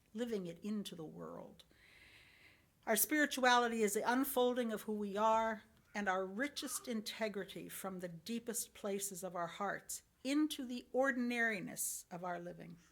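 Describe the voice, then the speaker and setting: A woman reading a sermon from a script as a lay church speaker, in earnest, deliberate phrases. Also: mid-range voice, vocal fry, fairly bright tone. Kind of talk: sermon, read from a script with short personal commentary.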